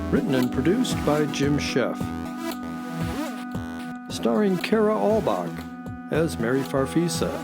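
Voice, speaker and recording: A man announcing the credits of a radio show. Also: a little high.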